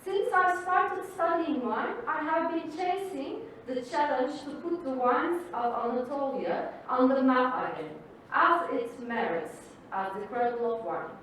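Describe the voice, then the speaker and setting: A woman whose first language is Turkish, hosting a wine evening and giving a formal, measured welcome speech. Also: high-pitched, a bit bright, a little hiss or hum. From Turkey. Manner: slow, measured, formal delivery. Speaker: event host and wine professional. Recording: distant mic in an echoey hall.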